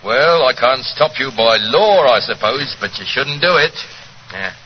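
An actor playing a plain-spoken, protective police constable with a working-class London accent in a 1940s radio drama. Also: male voice, mid-range voice, expressive, unhurried, a little hiss or hum, natural voice. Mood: concerned. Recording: dull 1940s radio recording, music behind.

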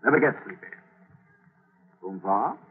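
A man's voice: on the low side, expressive, measured pace, slightly rough. An actor playing a folksy, talkative traveling salesman in a 1940s radio drama, in short clipped phrases. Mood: chummy. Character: chatty, friendly, pestering male traveling salesman. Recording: tinny, hissy 1940s radio recording.

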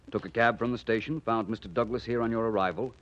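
An American man playing a suave, inquisitive gentleman detective in a 1940s radio drama, urbane and coolly probing. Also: fairly low pitch, steady tone, brisk, natural voice. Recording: muffled 1940s radio recording.

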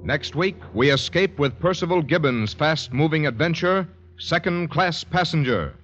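A man announcing credits in formal, deliberate 1940s radio style. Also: on the high side, expressive, a bit bright, slight background noise. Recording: dull 1940s radio recording.

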